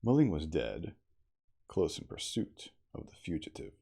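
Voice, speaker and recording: An extremely deep-voiced man reads a story aloud in a dramatic ASMR style. His voice is husky and crackles with fry, and his deliberate phrasing rises and falls expressively. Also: big pitch swings, dark tone. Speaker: audiobook reader.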